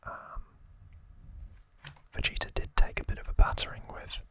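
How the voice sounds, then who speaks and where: A man's voice: moderate pace, pushed and bright. A man whispering breathily in a casual ASMR recap, explaining informally and with some hesitation. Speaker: ASMR video host.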